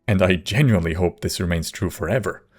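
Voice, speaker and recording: A man reading scripted video essay narration in a measured, wry manner. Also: sits low, a little mellow.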